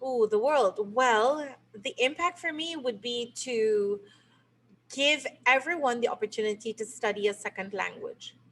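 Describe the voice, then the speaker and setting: A middle-aged woman from Trinidad and Tobago answers interview questions. She explains her ideas patiently, the way a teacher would. Her voice sits a touch higher than usual. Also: a little slow, a bit bright, a little hiss or hum, poor audio. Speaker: game creator and teacher.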